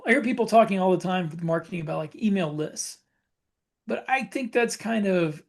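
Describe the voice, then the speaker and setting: A man chatting casually as a podcast co-host, thinking aloud in halting phrases that he often repeats. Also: high-pitched, animated, vocal fry. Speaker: podcast co-host and fiction author.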